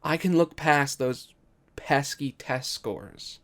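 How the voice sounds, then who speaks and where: A man voicing an excited, admiring army recruiter with an enthusiastic manner, in a story reading. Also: medium pitch, slow pace.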